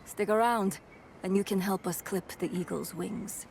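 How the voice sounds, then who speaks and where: An actress playing a tough, dry-witted raider boss in a dramatic video game, curt and commanding. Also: female voice, mid-range voice, normal speed, gravelly, darkish tone, a little hiss or hum, lightly acted. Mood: businesslike.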